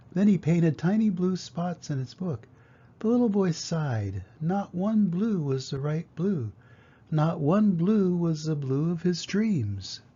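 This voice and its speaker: A man's voice: on the high side, mellow, slightly rough. An older man reading a children's picture book aloud as a grandfather, in storybook narration style. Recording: dull lo-fi home recording.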